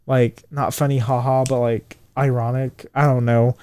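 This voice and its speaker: A man in his twenties co-hosting a casual podcast chat, swearing freely, his voice jumping high when he gets indignant. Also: level tone, moderate pace, dark tone, clean tone. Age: 20s. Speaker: podcast co-host.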